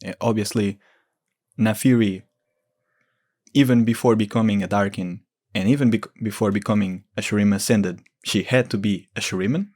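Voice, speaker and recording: A man's voice: fairly low pitch, normal speed, a little mellow. A man giving informal, explanatory lore commentary as a gaming video creator.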